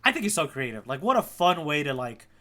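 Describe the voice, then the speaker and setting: A man with a bright, slightly high voice talks as a podcast guide. His delivery is casual and slangy, full of geeky enthusiasm. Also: sing-song, a little fast. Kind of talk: casual chat about a manga.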